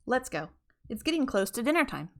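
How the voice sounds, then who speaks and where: A woman plays a kindly bull moose from a children's storybook. He speaks in a bright, forward tone, hearty and coaxing. He is polite but will not be put off. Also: on the high side, very animated, a little fast, pitched above the performer's own voice. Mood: polite, insistent. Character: kindly, determined adult male moose husband.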